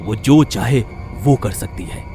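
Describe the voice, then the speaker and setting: A man's voice: lowish voice, unhurried, over music, natural voice. A man playing an old tantric holy man in a horror story, solemn and resolute, speaking emphatically. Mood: grave, urgent. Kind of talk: dramatic horror story acting.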